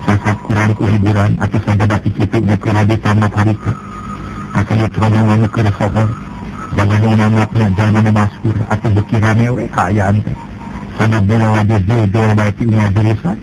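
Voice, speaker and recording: Man telling a folk tale over traffic noise. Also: normal speed. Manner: lively storytelling, jumps in pitch for characters. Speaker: storyteller. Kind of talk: Sundanese folk tale narration with character voices.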